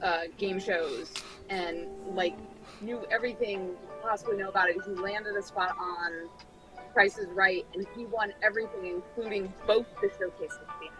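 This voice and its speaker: A woman's voice: mid-range voice, fairly even intonation, normal speed, music in the background, poor audio. A young adult woman telling a casual anecdote to a group on an online livestream.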